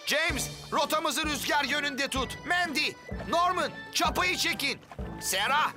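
A man's voice: very high-pitched, slow pace. Hearty cartoon fisherman dad. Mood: determined. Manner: hearty, exclamatory. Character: excitable, hearty adult male fisherman dad. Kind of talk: animated cartoon dub acting. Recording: music under the dialogue.

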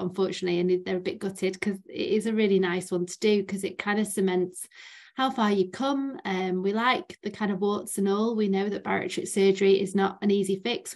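A woman's voice: mid-range voice, a little mellow. A woman hosting an online session, talking in a friendly, reassuring and chatty way before introducing the speaker. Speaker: session host. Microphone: video call.

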